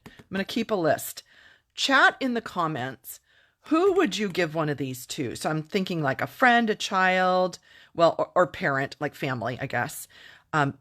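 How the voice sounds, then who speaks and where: A woman's voice: lowish voice, fairly bright tone. A woman chatting upbeat through a live-streamed craft tutorial, thanking viewers and answering comments as she works. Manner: upbeat and enthusiastic. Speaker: craft demonstrator.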